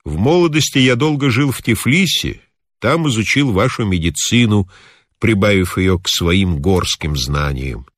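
A man voicing a kindly, talkative old mountain healer in a story, with a calm, instructive, measured manner. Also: lowish voice, dry recording, clean studio sound. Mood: calm, reassuring.

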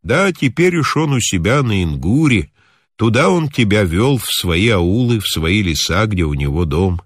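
A man voicing a kindly, talkative old mountain healer in a story, with a calm, instructive, measured manner. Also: on the low side, husky, no echo, clean studio sound. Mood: calm, reassuring.

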